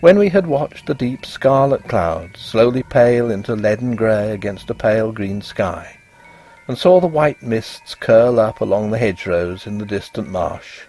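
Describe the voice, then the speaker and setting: A man narrating a gothic literary tale in an expressive, measured and dramatic audiobook style. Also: lowish voice, faint music behind.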